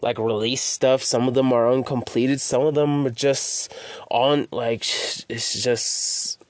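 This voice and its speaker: Man, podcast host, casual solo chat, rambling, repeats words, curses a lot, middle pitch, dry close sound. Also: little pitch movement, slow, no room sound. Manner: rambling, stammering, swears freely.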